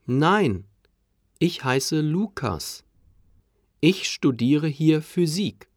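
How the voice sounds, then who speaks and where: A man reading numbers and dialogue lines for a language course with clear, deliberate enunciation. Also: on the high side, expressive, no room sound, studio quality. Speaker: course audio speaker. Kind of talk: slow textbook reading.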